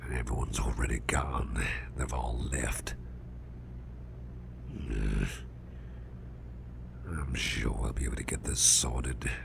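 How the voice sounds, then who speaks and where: Man voicing a very deep, gravelly, whispering grumpy boss. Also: fairly even intonation, noisy background, full character voice. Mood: pondering, uneasy. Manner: slow, halting, murmured, restrained. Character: big, strong grumpy male boss trying to stay calm. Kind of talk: whispered ASMR roleplay, personal attention.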